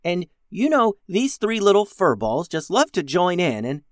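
A man's voice: sits high, very animated, normal speed, dry recording, clean studio sound, pitched above the performer's own voice. A man voicing a mild, fussy starship crewman with a hesitant, rambling manner in a children's story. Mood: distracted, chatty.

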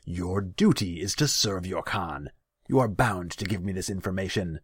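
A man's voice: low-pitched, big pitch swings, unhurried, voice pushed up, exaggerated voice. A man voicing a haughty, hot-tempered khan in a fantasy story, pompous and demanding. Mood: imperious.

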